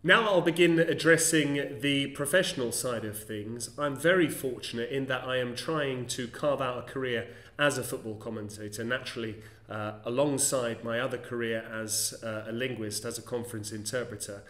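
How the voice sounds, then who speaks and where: A man, a conference interpreter and football commentator, talking through a solo vlog monologue in a measured, articulate and fairly formal way. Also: medium pitch, fairly bright tone. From England. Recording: roomy echo.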